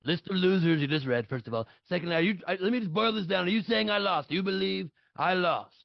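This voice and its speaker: A man's voice: high-pitched, quick pace, creaky, lo-fi sound. A man playing a defensive, dismissive, blustery politician parody in a comedic table read. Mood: defiant, insistent.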